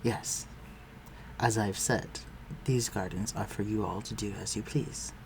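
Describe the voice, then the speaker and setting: Man playing a low-voiced, courtly vampire lord. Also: steady tone, a little slow. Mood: calm, caring. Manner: formal, old-fashioned, tender and reassuring. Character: courtly, protective male vampire lord, gentle with his human. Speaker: voice actor. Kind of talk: dramatic audio roleplay addressed to the listener. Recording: clearly noisy, ambient sound behind voice.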